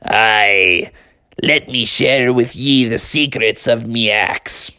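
A man's voice: medium pitch, takes it slowly, pushed and bright. A man voicing a boastful, jolly father bear who talks like a hearty pirate in a children's story. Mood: hearty, piratical. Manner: hearty, boastful, pirate-speak with "arr", "aye", "me hearty". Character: bragging, jolly father bear turned mock pirate dad.

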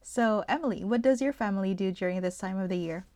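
Young female co-host from the Philippines, easygoing and conversational, pauses to think. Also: mid-range voice, a little mellow, a little hiss or hum. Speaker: podcast co-host. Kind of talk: casual podcast chat.

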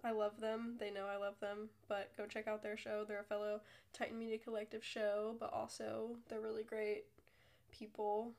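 A woman's voice: medium pitch, monotone, normal speed, gravelly, darkish tone. A young woman hosting her own podcast, congested from illness, chatting casually in a rambling, self-deprecating way. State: congested from a cold. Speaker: podcast host. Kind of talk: casual solo podcast chat.

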